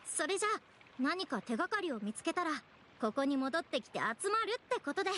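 A woman's voice: high voice, big pitch swings, a little fast, a bit bright, a little hiss or hum. A woman voicing a polite, apologetic young female guide and cheerful mascot in an anime game, explaining things earnestly. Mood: organizing, friendly.

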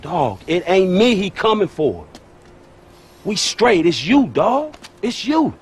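An actor playing a streetwise, loyal young American hustler in a video game. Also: male voice, sits high, big pitch swings, takes it slowly, slightly rough, heavily acted. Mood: concerned, urgent. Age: young adult. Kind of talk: cinematic video game acting.

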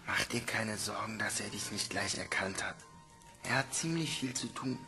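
An actor playing a reassuring, matter-of-fact companion in audio drama dialogue. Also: male voice, lowish voice, normal speed, gravelly, sharp tone, soft background music, exaggerated voice. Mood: hushed, reassuring. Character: reassuring male companion in a dormitory at night.

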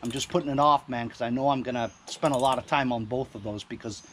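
A man's voice: mid-range voice, fast talker, a bit bright, slight background noise. A man, chatting casually and rambling with fillers as he fixes machines outdoors, recorded on an action camera. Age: 50s. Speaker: small engine repair vlogger. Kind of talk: casual chat while repairing. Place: outdoors in a driveway and backyard.

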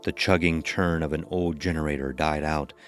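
A man reading scripted horror narration in a measured, ominous storytelling manner. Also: very deep voice, fairly even intonation, soft background music.

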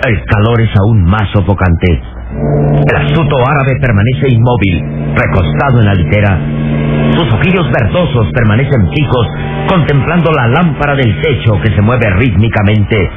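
Male narrator, vintage radio serial: medium pitch, dramatic scripted read, clear music bed, narrow tinny sound. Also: lively intonation, normal speed.

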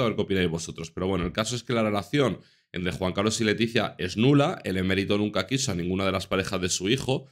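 A man in his thirties from Spain reads out news stories and comments on them to camera from a small room at home. His voice sits fairly low. He talks earnestly to his viewers and repeats his points for emphasis. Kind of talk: casual commentary reading news articles.

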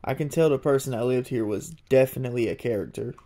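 A young man, narrating a casual, excited walkthrough of an abandoned house. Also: medium pitch, fast talker. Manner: excited, marveling, chatty. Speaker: urban explorer vlogger. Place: inside an abandoned old house.

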